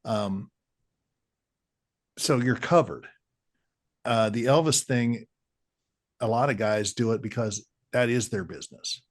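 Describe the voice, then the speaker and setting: A man's voice: mid-range voice, slow pace, pauses often. A man hosting an interview, asking questions in an enthusiastic, encouraging way. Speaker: interviewer host. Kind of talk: casual interview chat.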